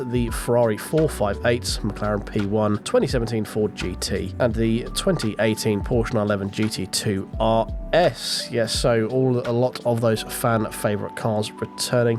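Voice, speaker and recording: A young man hosting a gaming channel, running through a game update in a chatty, wry way while sick with a heavy cold and cough. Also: on the low side. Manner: chatty and wry, with frequent fillers. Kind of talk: casual update rundown over game footage.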